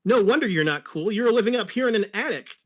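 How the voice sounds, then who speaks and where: A man's voice: sits high, animated, quick pace, fairly bright tone, highs cut off, voice pushed up. A man voicing a cocky, brash young cartoon hedgehog hero in a boastful, blunt way.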